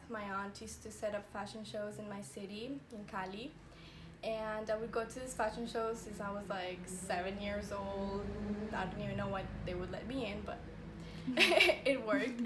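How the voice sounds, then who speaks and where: A woman's voice: medium pitch, fairly bright tone, slight background noise, rough recording. Chatty giggly young Colombian-born woman. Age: 20s. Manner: chatty, giggly, many fillers like "like" and "you know". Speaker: fashion design graduate. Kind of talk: casual interview answers.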